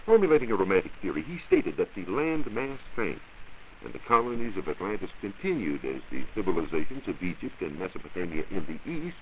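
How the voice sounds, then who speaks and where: An American man giving a formal, measured science talk as a reporter in a scripted 1940s radio broadcast. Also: low-pitched, sing-song. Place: radio studio. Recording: dull, hissy 1940s radio recording.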